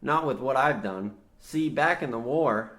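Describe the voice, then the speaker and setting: A man's voice: mid-range voice, a little slow, a bit bright, lightly acted. Man playing a desperate young coal miner. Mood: confessional, resigned. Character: desperate younger male coal miner, guilt-ridden and earnest. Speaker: radio play actor. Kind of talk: old-time radio horror comedy acting.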